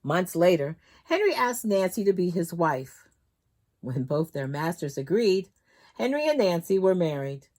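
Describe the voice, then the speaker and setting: Woman, low pitch, storytime host, expressive read-aloud, dramatic emphasis, children's book narration. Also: a little slow. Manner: dramatic, emphasizing key words.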